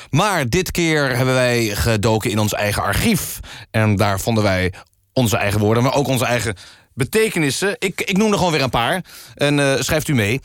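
Male radio host, medium pitch, deadpan, mock-serious presentation, dry close studio sound. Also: no echo.

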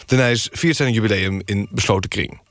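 A man reading scripted mock news as a radio presenter, with a deadpan delivery. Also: on the low side, normal speed, no echo. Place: dry radio studio.

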